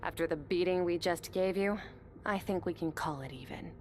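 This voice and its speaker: An actress playing a composed, resolute swordswoman in a game cutscene, speaking calmly and firmly. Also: fairly low pitch, a little hiss or hum, close to an everyday voice. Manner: calm, measured, firm.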